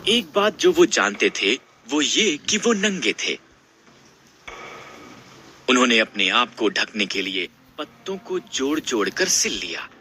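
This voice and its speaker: A man's voice: mid-range voice, sing-song, a little slow, bright, forceful tone, a little hiss or hum. A man narrating a scripted Bible story in a dramatic storytelling style.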